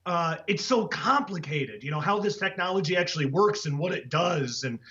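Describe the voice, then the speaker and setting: A man speaking as a guest author, hoarse and raspy after the flu, earnest and full of fillers and restarts. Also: a little high, expressive, moderate pace, pushed and bright. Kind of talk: casual interview talk. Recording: dull lo-fi audio.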